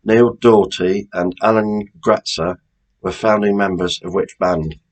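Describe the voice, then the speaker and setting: British guy hosting a homemade quiz, really low voice, takes his time, chatty and informal. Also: fairly even intonation, a little slow, rough recording. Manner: chatty, informal, repeats questions. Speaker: quiz host.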